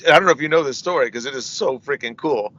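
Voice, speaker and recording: A man's voice: mid-range voice, quick pace, a bit bright, lo-fi sound. A middle-aged man, a metal singer, telling rambling stories as an interview guest, often asking "you know what I mean" and laughing between anecdotes. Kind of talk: casual storytelling interview.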